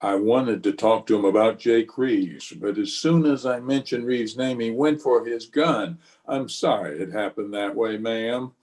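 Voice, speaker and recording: A man playing a calm, plain-spoken frontier marshal in a radio western, measured and laconic. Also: fairly low pitch, lightly acted. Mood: grave, steady. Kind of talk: old-time radio western acting.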